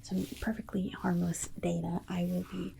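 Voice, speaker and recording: A woman's voice: on the low side, level tone, normal speed, a little hiss or hum, low-quality recording. A woman playing a nervous, chatty alien scientist in an ASMR roleplay, speaking in a hesitant, stammering whisper and awkwardly reassuring. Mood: gently reassuring. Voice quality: breathy whisper with creaky soft voice. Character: nervous, chatty, well-meaning alien scientist abducting a human. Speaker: ASMR performer.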